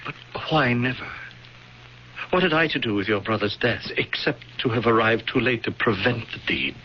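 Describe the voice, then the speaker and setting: An actor playing a stately, scheming priest in a radio drama, measured and courtly as he feigns sorrow. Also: medium pitch, lightly acted. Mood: insinuating, ardent. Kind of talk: classic radio drama acting. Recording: dull, noisy old radio broadcast.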